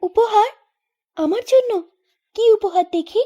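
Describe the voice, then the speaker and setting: A woman voicing a sweet, dutiful young village girl in a cartoon, curious and gentle. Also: extremely high pitch, a little slow, dull audio. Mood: shy curiosity. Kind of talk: melodramatic cartoon voice acting.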